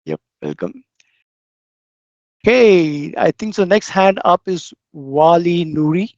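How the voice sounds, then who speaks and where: A man's voice: sits high, slow, dry recording. A man hosting an online meeting as a program representative and answering questions. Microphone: online meeting call. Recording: dull lo-fi online call audio.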